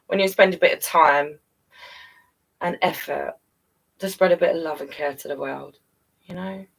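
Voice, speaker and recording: A British woman chats on a live stream in a lowish, creaky voice with plenty of rise and fall. She is affectionate and encouraging, like a storyteller talking to her audience. She sounds a little way back from the microphone. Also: normal speed, recorded from a distance, poor audio. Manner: affectionate, encouraging, calls listeners darling and guys. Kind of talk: casual live-stream chat to viewers.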